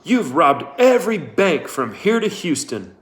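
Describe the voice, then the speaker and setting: A man's voice: on the high side, big pitch swings, unhurried, voice pushed up. Man acting a barking outlaw gunman. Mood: threatening. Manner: barking, demanding. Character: threatening, impatient male outlaw gunman.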